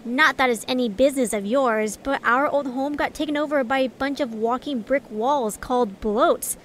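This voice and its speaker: A woman's voice: high-pitched, normal speed, fairly bright tone, a little hiss or hum. A woman playing a snarky, proud, hot-tempered young adventurer in an audio drama, sarcastic and scornful.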